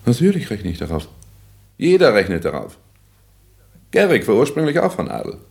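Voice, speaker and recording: A brash young man of the theatre in a radio play. His deep voice is gravelly and swings widely as he teases and declaims with total self-assurance. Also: wildly swooping pitch, normal speed, darkish tone, full character voice. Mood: boastful. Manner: declamatory, teasing, cocksure. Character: brash, theatrical young dropout turned aspiring actor. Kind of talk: German radio play acting.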